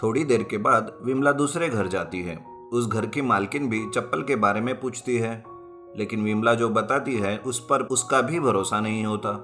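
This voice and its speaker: A man reading a scripted folk tale as a storyteller, in narration for an animated story. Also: fairly low pitch, normal speed. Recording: music bed behind the voice. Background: background music.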